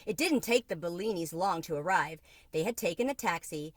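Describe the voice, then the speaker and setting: A woman reading a children's book aloud in an expressive narrating style. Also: on the low side, brisk, dry recording. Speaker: storybook reader.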